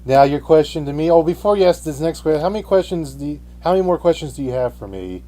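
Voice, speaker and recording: Guy hosting a radio debate, kinda high mellow voice, keeps restarting his phrases. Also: expressive, quick pace. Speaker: radio show host.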